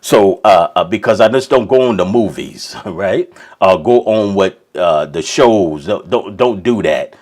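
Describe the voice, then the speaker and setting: A man's voice: deep voice, expressive, a little slow, darkish tone. A man preaching an informal sermon, rambling with many restarts and checking in with "right?". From Kentucky.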